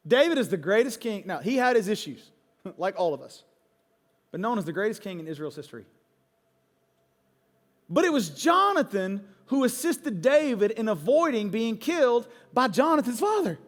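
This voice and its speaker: High-pitched male preacher in his forties. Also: very animated, normal speed. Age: 40s. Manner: emphatic, candid, repeats phrases for effect. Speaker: pastor. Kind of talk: sermon. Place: large church auditorium. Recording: long hall reverb, music underneath. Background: music.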